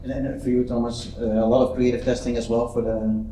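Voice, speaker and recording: A man's voice: mid-range voice, little pitch movement, fairly quick, mellow. A man moderating a conference panel, asking follow-up questions with hesitant starts. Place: conference stage, roomy hall. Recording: roomy, distant live panel recording with faint music.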